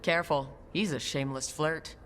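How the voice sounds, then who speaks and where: An actress playing a composed, wry fantasy game hero, with a dry and direct delivery. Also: female voice, low voice, fairly even intonation, normal speed, a little hiss or hum, close to an everyday voice. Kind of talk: video game voice acting.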